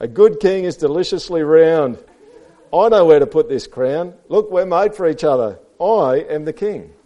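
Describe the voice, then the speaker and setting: A man's voice: a little high, lively intonation, normal speed, dark tone, pitched above the performer's own voice, natural voice. A man voicing a round, self-satisfied, boastful storybook pig with a grunting manner. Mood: pleased boasting.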